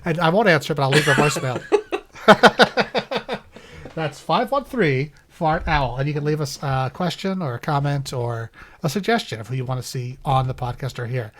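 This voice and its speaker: A man's voice: fairly high pitch, expressive, moderate pace, fairly bright tone. A man hosting a casual podcast at his home studio, chatty and upbeat, laughing often. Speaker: podcast host. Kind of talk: casual chat. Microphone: studio microphone.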